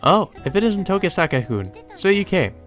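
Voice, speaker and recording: A caring café woman from a visual novel, put on by a man. She speaks gently and familiarly in a lifted pitch, with music playing behind. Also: very animated, fairly quick. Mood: welcoming. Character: friendly, caring woman running a coffee shop.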